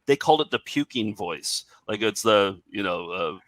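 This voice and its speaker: A man's voice: fairly low pitch, expressive, moderate pace, no room sound. A man hosting and directing a comic table read in casual, joking chat.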